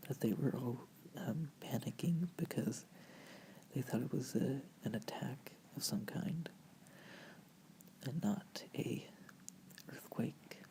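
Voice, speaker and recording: A narrator from the United States whispering softly and breathily through an informal, rambling slideshow in ASMR style. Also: medium pitch, vocal fry, poor audio. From United States, family from Virginia. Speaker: video creator and host.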